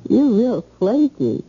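Sassy slangy call girl, pitch all over the place, muffled old radio show. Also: husky, full character voice. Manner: slangy, brassy, sharp-tongued. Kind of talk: old-time radio drama acting.

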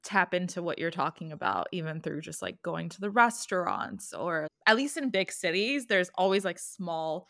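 Young woman, podcast co-host, casual, reflective, bright tone, dry close sound. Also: lowish voice, moderate pace, vocal fry, few pauses, no room sound. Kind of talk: casual chat.

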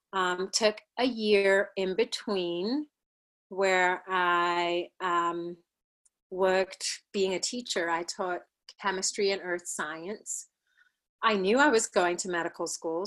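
A woman in her forties from Ghana, a plastic surgeon, telling her life story in an interview with many pauses and soft laughs. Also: lowish voice. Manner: thoughtful, with frequent ums and soft laughs.

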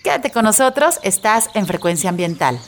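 A woman from Mexico, hosting an educational radio show and reading a scripted introduction in a steady, informative way. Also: mid-range voice, moderate pace, noisy background.

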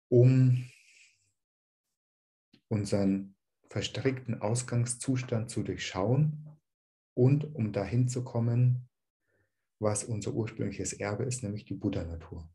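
A man teaching dharma to an online group, calm and searching, with a slight cold. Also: mid-range voice. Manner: calm, searching, with many "ähm" restarts.

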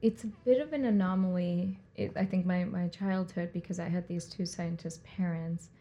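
A woman with a mellow, warm tone talks through her thoughts as an interview guest. Her first language is Hindi, and she grew up between India and Puerto Rico. She muses aloud, restarting phrases and leaning on fillers as she goes. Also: lowish voice, steady tone, normal speed, highs cut off. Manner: reflective, rambling with many fillers and restarts. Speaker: guest, artist and director. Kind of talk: conversational interview.

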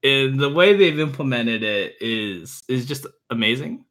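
Man in his twenties, medium pitch, podcast guest, casual explanations, halting, repeated words, informal. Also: expressive, a bit bright. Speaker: podcast guest, visual novel reviewer. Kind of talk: casual chat, explaining and recommending.

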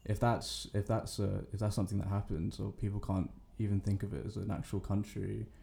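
A man's voice: lowish voice, level tone, a little fast, creaky, mellow. A nineteen-year-old man speaking as a guest in a casual podcast chat, hesitant with many fillers and restarts.

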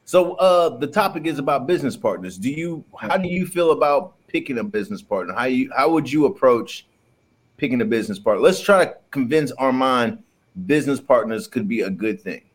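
A man's voice: medium pitch, expressive, brisk. A man co-hosting a podcast, chatting casually and asking questions in a jokey, colloquial way. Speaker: podcast co-host. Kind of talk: casual chat and interviewing.

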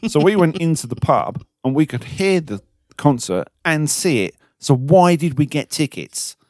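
A man's voice: fairly high pitch, sing-song, normal speed, a little mellow, no room sound, studio quality. A British man co-hosting a podcast, chatting casually and laughing at his own stories. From Britain. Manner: chatty, self-mocking, laughs while telling stories. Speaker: co-host.

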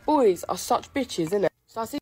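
A woman's voice: fairly high pitch, expressive, moderate pace, warm tone, husky, soft background music. A woman venting brashly to camera in a social media clip, full of "yeah" and "innit".

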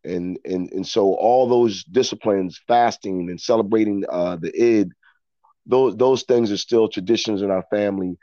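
A middle-aged American man telling reflective personal stories as an interview guest, in a halting way, often repeating words as he thinks. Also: fairly low pitch, dark tone, no room sound, muffled recording. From Philadelphia, United States. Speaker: interview guest, actor and storyteller. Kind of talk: reflective personal storytelling in conversation.

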